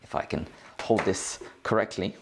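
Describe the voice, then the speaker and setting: A man's voice: moderate pace, noisy background. A man hosting a tech tutorial in a casual, informal, slangy way, explaining things step by step. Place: indoors at a cluttered work desk.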